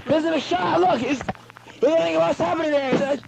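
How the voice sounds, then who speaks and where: A man's voice: very high voice, moderate pace, gravelly, pitched above the performer's own voice. A man playing a frantic eyewitness reporter in an amateur comedy skit. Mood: frantic, excited. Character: frantic male eyewitness reporter shouting alarm.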